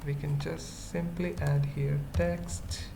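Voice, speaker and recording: A coding tutorial presenter thinking aloud in short, halting fragments during an unscripted screencast. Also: mid-range voice, level tone, vocal fry. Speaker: web development tutorial presenter. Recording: noisy mic, keyboard typing. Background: computer keyboard typing.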